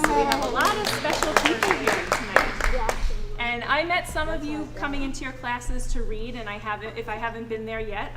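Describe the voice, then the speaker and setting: A woman educator reading aloud and chatting with children in an animated, encouraging way. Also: on the high side. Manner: encouraging, addresses children with endearments. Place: indoor school family event, live audience. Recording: echoey room with background noise.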